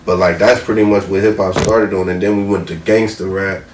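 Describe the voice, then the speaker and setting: A man's voice: deep voice, level tone, brisk. An American man in his thirties chatting casually and opinionatedly in slangy, profane speech, repeating his verbal tags. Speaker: podcast panelist. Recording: roomy, distant mic, faint noise.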